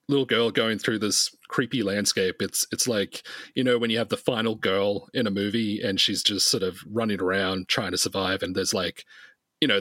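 A man's voice: fairly low pitch, bright, forceful tone, no echo. A man chatting casually as a podcast guest, rambling enthusiastically about the things he makes and loves. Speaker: podcast guest, comic creator. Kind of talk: casual chat.

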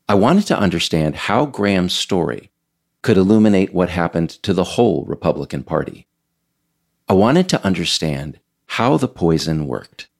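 A man hosting a podcast series, reading scripted narration in a measured, emphatic, explanatory way. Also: low-pitched, creaky. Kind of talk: scripted narration, audio essay read aloud.

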